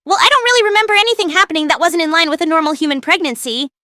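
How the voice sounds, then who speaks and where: A woman's voice: very high-pitched, rapid-fire, sharp tone, no echo, clean studio sound. A woman voicing a small, hyper, blunt young mother in an audio drama, chatty and casual. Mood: curious, chatty.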